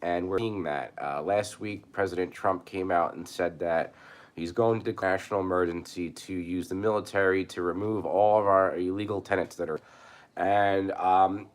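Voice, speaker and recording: A man with a very low, hoarse voice talks into his webcam, a bit rough from a cold. He rambles and circles back, stumbling through restarts and fillers in a casual, unscripted way. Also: fairly even intonation, moderate pace. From New York. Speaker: prepper channel host. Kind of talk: casual live commentary.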